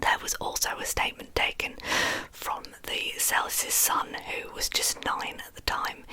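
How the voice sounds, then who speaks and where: A woman with a lisp whispers softly and breathily as an ASMR host, telling true crime stories in an informal, chatty way. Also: poor audio.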